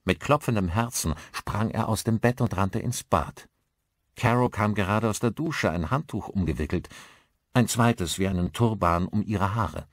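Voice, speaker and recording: A man's voice: lowish voice, normal speed, no room sound, clean studio sound. A man reading a scripted story as an audiobook narrator, in a dramatic style.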